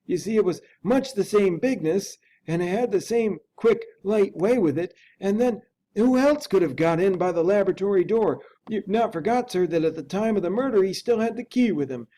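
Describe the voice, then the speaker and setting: A man's voice: high-pitched, expressive, moderate pace, dark tone, slight background noise, voice pushed up. A man voicing an anxious, earnest and deferential Victorian butler in a dramatic reading of a classic novel, with urgent, folksy servant's speech. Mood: uneasy, insistent.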